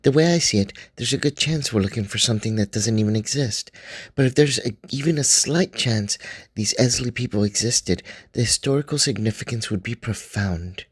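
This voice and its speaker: A man playing a 1920s scholar who reads his expedition journal aloud in a measured, dryly wry way. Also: medium pitch, slightly rough, no echo, close to an everyday voice. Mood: thoughtful. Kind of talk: first-person journal reading, radio drama.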